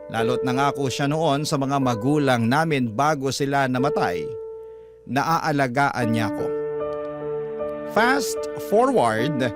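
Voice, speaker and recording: Casting: male narrator, slightly high voice, dramatic first-person radio storytelling, prominent music bed underneath. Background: loud background music.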